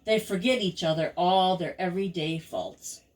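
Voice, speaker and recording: A woman's voice: on the low side, moderate pace, poor audio. Older woman reading rhyming children's verse. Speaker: grandmother reading stories to children.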